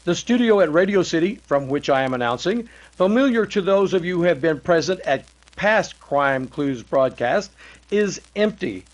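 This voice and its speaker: A man acting as an old-time radio announcer from the 1930s, pitched high for a male voice. The delivery is earnest and formal, with the polish of a salesman reading scripted copy. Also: expressive, moderate pace, lightly acted, poor audio. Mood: suspenseful, formal.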